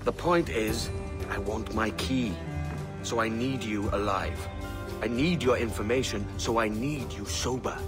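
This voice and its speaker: An actor playing a blunt, pragmatic pirate assassin in a video game cutscene, firm and businesslike. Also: male voice, lowish voice, a little slow, music in the background, close to an everyday voice.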